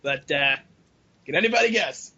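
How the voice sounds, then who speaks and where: A man co-hosting a casual group podcast, chatting in a jokey, animated way and swearing freely. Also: a little high, normal speed, slightly rough. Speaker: podcast co-host. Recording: dull lo-fi voice chat.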